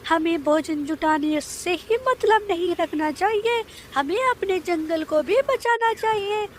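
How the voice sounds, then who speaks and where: Lady doing a tiny hopeful cartoon bird girl, super high voice, earnest, bit of background noise. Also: a little slow. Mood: urgent, concerned. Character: young female bird, hopeful, earnest, hardworking farmer. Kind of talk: Hindi children's moral cartoon dubbing.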